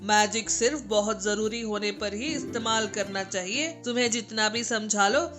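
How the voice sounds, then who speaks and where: Older magical mother-in-law, acted by a woman: sharp-tongued, preachy, scolding, cartoon moral tale with music bed. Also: medium pitch, fairly even intonation, moderate pace, close to an everyday voice. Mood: stern lecturing. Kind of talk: animated moral comedy story voice acting. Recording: background music under the voice.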